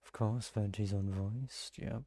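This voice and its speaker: A man with a gravelly, husky voice doing casual gameplay commentary, musing aloud in halting bursts. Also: on the low side, fairly even intonation, mellow. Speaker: game streamer.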